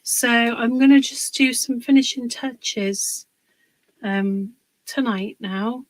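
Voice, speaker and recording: A woman, slightly hoarse from a cold, chatting casually on a livestream while she works on a craft project, pausing with fillers. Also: mid-range voice, no echo, poor audio. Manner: chatty, rambling, pauses with fillers while working.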